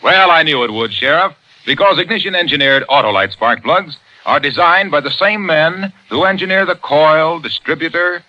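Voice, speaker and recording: An American man working as a commercial announcer, delivering a 1950s radio sales pitch. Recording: dull vintage radio recording.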